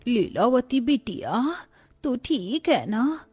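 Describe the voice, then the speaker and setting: A woman voicing a concerned elderly woman labourer in a cartoon story, solicitous and slow. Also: a little high, sing-song, darkish tone, slightly rough, soft background music, heavily acted. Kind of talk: Hindi cartoon moral story.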